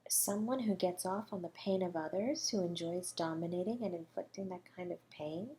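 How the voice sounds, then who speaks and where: A suave, flirtatious female murder suspect played by a woman in an audio roleplay. She is mocking and condescending, stretching words theatrically and slipping into breathy asides as she toys with her listener. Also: fairly low pitch, moderate pace, warm tone, natural voice. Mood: mock pity, coy. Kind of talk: scripted audio roleplay monologue.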